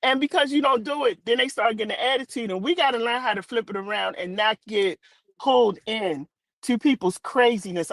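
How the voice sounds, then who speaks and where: A woman's voice: medium pitch, no room sound. Emphatic woman in dry, close sound. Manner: emphatic, repeats phrases for stress, plain-spoken. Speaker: talk show panelist. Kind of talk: casual live talk show chat.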